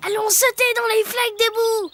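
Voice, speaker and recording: Very high, assertive little cartoon girl. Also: level tone, normal speed, over loud music. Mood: excited. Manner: matter-of-fact, correcting, childlike. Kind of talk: children's cartoon dialogue, French dub.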